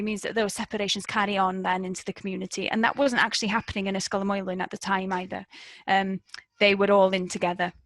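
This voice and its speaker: A woman researcher answers questions at a middle pitch for a woman, explaining her points at length and often repeating words as she goes. Her voice is recorded dry, with no room sound. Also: a bit bright, dry recording. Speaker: researcher guest. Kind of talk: interview answer.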